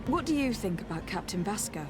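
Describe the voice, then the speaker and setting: A woman plays a young noblewoman investigating in a fantasy game. Her voice sits at a medium pitch, composed and polite, with measured, formal questions. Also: big pitch swings, over music, natural voice. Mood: probing, composed. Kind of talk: video game dialogue acting.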